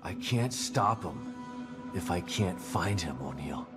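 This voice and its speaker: An actor playing a weary, guilt-ridden male hero in a video game, gruff, terse and brooding. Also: low voice, expressive, slow. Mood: grim questioning. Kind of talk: dramatic video game acting. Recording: music behind the voice.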